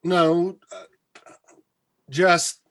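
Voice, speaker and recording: A man playing an awkward, sarcastic radio colleague with a husky voice and halting delivery in a comedy radio drama. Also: high-pitched, fairly bright tone, exaggerated voice. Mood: nervous, hesitant. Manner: halting, hesitant, stammering.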